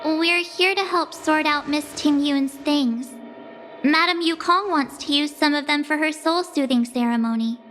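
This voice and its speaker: A woman's voice: high-pitched, a little slow, fairly bright tone, slight background noise. An actress playing a curious young girl adventurer in a game, wondering aloud as she looks through things. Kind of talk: game cutscene voice acting. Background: game soundtrack music.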